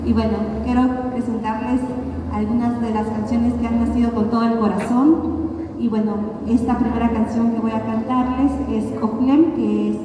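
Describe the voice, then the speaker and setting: A woman with a Kaqchikel mother tongue addresses a fair audience from the stage, unhurried and heartfelt. Her fairly high voice carries through a PA, echoing with a long tail as if heard from across an open square. Music plays behind her. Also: background noise. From San Juan Comalapa, Guatemala. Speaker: guest singer. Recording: echoey outdoor stage sound from far off, music behind.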